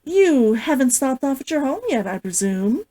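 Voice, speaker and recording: A woman's voice: high-pitched, sing-song, moderate pace, dark tone, voice pushed up. A woman voicing a flirtatious, dismissive monster hunter woman in a teasing, theatrical storybook read-aloud.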